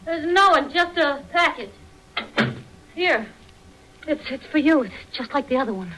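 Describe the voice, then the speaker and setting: High-voiced, anxious crook's girl. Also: animated, measured pace, bright, forceful tone, heavily acted. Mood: frightened. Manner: pleading, questioning, plain slangy talk. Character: anxious, nosy female companion of a crook. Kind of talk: 1940s radio thriller acting. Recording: noisy old 1940s radio broadcast.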